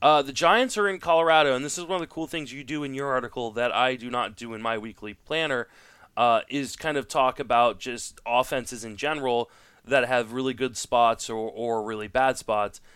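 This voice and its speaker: A man with a clean, smooth voice hosts a casual sports talk podcast, a native French speaker. His sound is dry and close, and he talks conversationally, working through his opinions as he goes. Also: medium pitch, normal speed, fairly bright tone, dry recording. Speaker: podcast host. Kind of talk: casual sports talk, fantasy baseball discussion.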